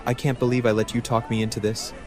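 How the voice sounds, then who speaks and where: Quick, grumbling actor as reluctant male singer. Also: mid-range voice, level tone, natural voice. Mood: disgruntled. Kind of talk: fan audio drama acting. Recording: loud music over voice.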